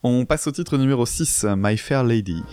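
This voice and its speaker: A man's voice: mid-range voice, expressive, moderate pace. A man co-hosting a music podcast, giving an enthusiastic, casual album review with hesitant phrasing.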